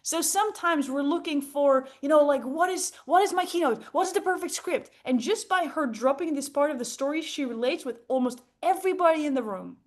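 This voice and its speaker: A woman's voice: high-pitched, expressive, a little fast, few pauses. A woman coaching a group on public speaking, enthusiastic and emphatic, often checking in with rhetorical questions. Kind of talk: interactive group coaching talk.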